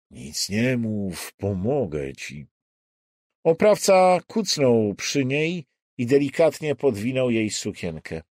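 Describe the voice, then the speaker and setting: A man's voice: mid-range voice, a little mellow, dry recording, studio quality. A man reading as an audiobook narrator, in a dramatic scripted thriller style.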